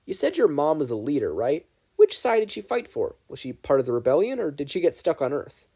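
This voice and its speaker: A man's voice: on the high side, wildly swooping pitch, a little fast, mellow, breathy edge, voice pushed up, heavily acted. A man reading the part of an inquisitive, direct teenage girl in a story. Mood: curious. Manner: questioning, direct. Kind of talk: acted dialogue in story reading.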